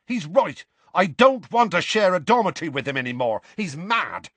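A heated, accusing teenage schoolboy as a man acts him out in an audiobook. The voice leaps well above a grown man's pitch and swings widely, snapping out each retort. The sound is close and dry. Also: very animated, normal speed, fairly bright tone, no room sound, full character voice. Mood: heated, disgusted. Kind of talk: audiobook character voice.

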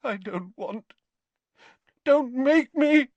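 Delirious elderly wizard, high strained pitch, slow anguished pleading. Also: male voice, lively intonation, darkish tone, no room sound, highs cut off, heavily acted. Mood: frightened pleading. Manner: moaning, pleading, drawn-out words. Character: frightened, delirious old male wizard, pleading in agony.

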